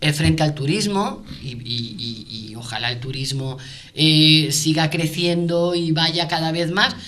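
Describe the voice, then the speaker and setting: A woman speaking off the cuff in a panel debate as a business representative, emphatic but often halting and repeating words as she searches for phrasing. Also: sits low, a bit bright.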